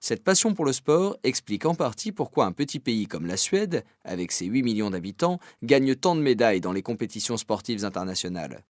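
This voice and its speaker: A man's voice: mid-range voice, very animated, brisk, few pauses, dry recording, clean studio sound. A man presenting a scripted radio report as a narrator.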